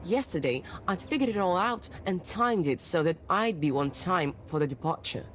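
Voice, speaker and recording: A bold, stubborn young lady journalist from a period mystery game, voiced by a woman. She speaks imperiously in a fairly low voice, with faint noise in the background. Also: animated, normal speed, natural voice. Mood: haughty, defiant. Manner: haughty, assertive, crisp commands. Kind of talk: visual novel voice acting.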